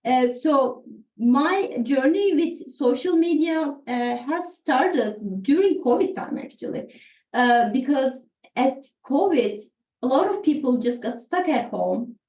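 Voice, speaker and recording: High-voiced Turkish woman, echoey and distant. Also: lively intonation, slow, a little mellow. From Turkey. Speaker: podcast guest, therapist. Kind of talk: conversational interview. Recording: echoey room, distant from mic.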